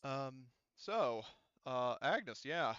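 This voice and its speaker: A man's voice: mid-range voice, big pitch swings, extremely slow, fairly bright tone, clear, resonant tone, no room sound, lo-fi sound. A man running a tabletop roleplaying game as game master, casually thinking aloud as he works through the dice results.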